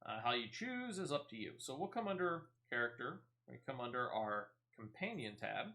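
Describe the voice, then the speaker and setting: A man's voice: medium pitch, sing-song, normal speed, sharp tone. A man giving casual, rambling, playful gameplay commentary as a video game streamer.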